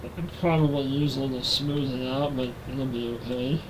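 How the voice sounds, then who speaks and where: A man's voice: medium pitch, moderate pace, noisy background. A man in his forties with a nasal voice, chatting casually with viewers on a live stream while playing a game on a headset mic. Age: 40s. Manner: relaxed, talks to chat while playing.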